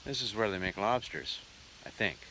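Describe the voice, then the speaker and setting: A man's voice: lowish voice, lively intonation, normal speed, sharp tone, slight background noise, rough recording. A man hosting a casual travel vlog, narrating with wry, joking asides. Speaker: vlog host and travelling musician.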